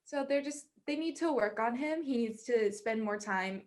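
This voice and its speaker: A woman's voice: a little high, animated, normal speed, a little mellow. A young woman co-hosting a casual sports podcast, talking loosely with many "like"s and "just"s. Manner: casual, filler-heavy "like" and "just". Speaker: podcast co-host.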